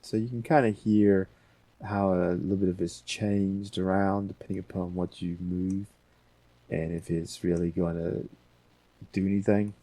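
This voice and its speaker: A man hosting a casual software tutorial, talking informally and thinking aloud as he goes. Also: sits low, little pitch movement, unhurried, dry recording. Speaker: audio editing tutorial host.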